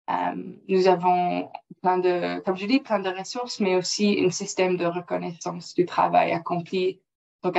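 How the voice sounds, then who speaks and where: A woman whose first language is English presenting slides in French, careful and halting with non-native phrasing, over a computer mic on a video call. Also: fairly low pitch, gravelly, a little mellow. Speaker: project officer presenting. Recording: dull lo-fi call audio.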